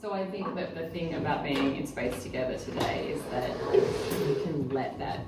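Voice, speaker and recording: A woman's voice: sits low, normal speed. A woman directing actors in an informal rehearsal talk. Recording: roomy, distant mic, loud background noise.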